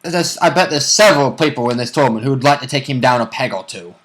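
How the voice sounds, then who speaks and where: A man's voice: mid-range voice, quick pace, fairly bright tone. A man co-commentating on a tabletop role-play vidcast, chiming in eagerly with casual banter about rules and fighters.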